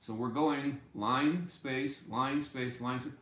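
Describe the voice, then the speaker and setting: Slow-talking older man teaching, roomy sound. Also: mid-range voice, fairly even intonation, a bit bright. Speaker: music teacher. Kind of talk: informal instructional explanation at a whiteboard. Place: small cluttered indoor music room. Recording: roomy, echoey home room sound.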